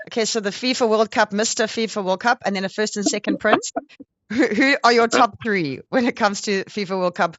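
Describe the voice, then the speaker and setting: A woman's voice: mid-range voice, a bit bright, no echo, highs cut off. A South African woman hosting a live radio interview in a chatty, effusive and informal way.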